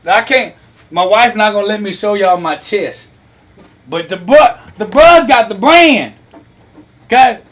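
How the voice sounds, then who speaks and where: A man in his thirties hosting a lively talk, teasing and quizzing his online audience. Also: high-pitched, measured pace, pauses often. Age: 30s. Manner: animated, teasing, asks the audience questions. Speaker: host.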